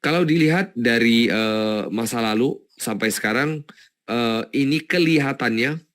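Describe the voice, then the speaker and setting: A man's voice: medium pitch, a little slow, a bit bright. A man presenting a stock analysis webinar in an informal, explanatory way, thinking aloud and repeating words as he goes. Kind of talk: informal live webinar commentary.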